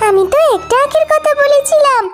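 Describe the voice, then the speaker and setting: A woman's voice: very high-pitched, lively intonation, fairly quick, faint music behind. A woman voicing an eager, clever young fox daughter with a chirpy childlike delivery in a children's cartoon. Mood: eager, affectionate.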